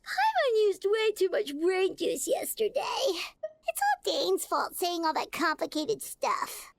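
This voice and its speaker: A woman's voice: very high voice, unhurried, gravelly, no room sound, exaggerated voice. A woman voicing a tiny, bubbly floating companion girl in a video game, who talks about herself in the third person. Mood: chirpy. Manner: bubbly, excitable, childlike.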